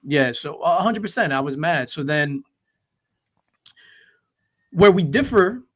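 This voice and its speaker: A man's voice: a little high, expressive, slow pace. A man hosting a livestream, earnestly explaining his side at length with fillers. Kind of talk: casual chat.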